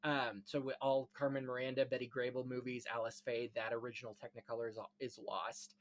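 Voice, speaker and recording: A man's voice: mid-range voice, little pitch movement, fairly quick. A man hosting a casual discussion of film history, explaining things in rambling, enthusiastic detail. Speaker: podcast host.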